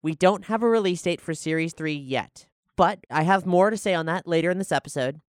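A woman's voice: on the low side, brisk, no echo, clean studio sound. A woman giving announcements as a podcast host.

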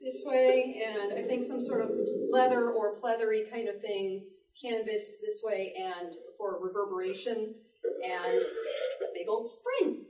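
A woman giving a live demonstration talk to an audience, explaining in an animated way with playful asides. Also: mid-range voice, measured pace, slight background noise. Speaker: panel presenter, sound effects artist. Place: indoor library panel before an audience. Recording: echoey room, distant microphone.

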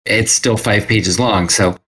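A man's voice: fairly low pitch, fairly even intonation, measured pace, sharp tone. A man telling his story casually as a guest in an interview. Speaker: podcast guest, graphic designer.

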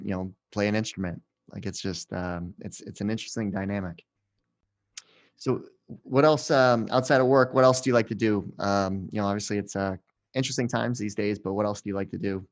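A middle-aged man with a fairly low voice hosts an interview. His delivery is casual and conversational, with loose, informal phrasing. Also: brisk. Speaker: podcast host.

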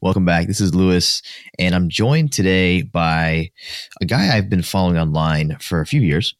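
Low-voiced male host, casual and upbeat, frequent laughs, dry close recording. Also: expressive, moderate pace, no room sound. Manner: upbeat, laughs often, fillers and restarts. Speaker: podcast host. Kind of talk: casual interview chat.

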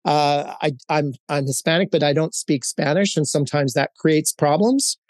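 A man's voice: fairly high pitch, normal speed, no room sound, clean studio sound. A man in his fifties who grew up in Hawaii, giving candid, storytelling interview answers with frequent fillers and restarts. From Hawaii, United States. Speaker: guest, corporate executive.

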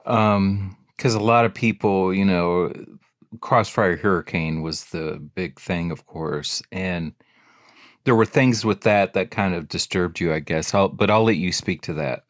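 A man's voice: deep voice, measured pace, dry recording, clean studio sound. A man interviewing a guest, asking long, rambling questions full of hedges.

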